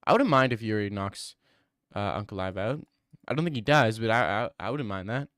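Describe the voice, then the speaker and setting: A man's voice: fairly low pitch, expressive, moderate pace, a bit bright, no echo, clean studio sound. A young man streaming and answering viewer comments in a casual, teasing way, full of mock bravado.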